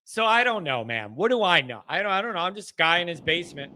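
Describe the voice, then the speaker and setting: A man hosts a call-in sports show from his home basement. His voice sits high and sounds very bright. He rambles in an animated way and pokes fun at himself. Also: quick pace. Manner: animated, rambling, self-deprecating. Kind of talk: casual call-in chat.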